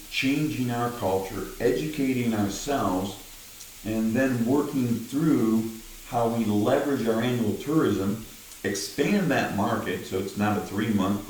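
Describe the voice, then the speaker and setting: An older man with a gruff voice who talks directly, rambling to camera in a casual vlog and often tagging on "right?". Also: lowish voice, a little mellow, heavy reverb, recorded from a distance, slight background noise, rough recording. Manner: rambling, many "right?" tags. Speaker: vlogger.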